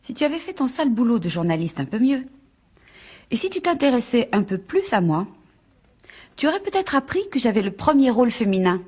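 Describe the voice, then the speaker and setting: Give the role to an emotional young actress in a radio drama. Her voice is slightly high and husky, with animated rises and falls. She is spirited and quick to show what she feels. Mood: angry, reproachful. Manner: emotional, expressive. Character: hopeful, spirited young actress, easily moved, quick to reproach. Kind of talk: French radio drama acting.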